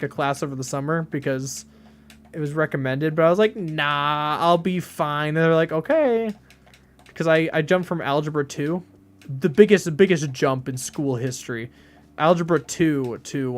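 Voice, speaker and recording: A young man in his late teens chats casually while he streams a game. His voice sits slightly higher than most men's. He jokes with a flat, deadpan delivery and rambles through fillers and restarts. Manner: deadpan, flat delivery even when joking. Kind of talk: casual live-stream chat.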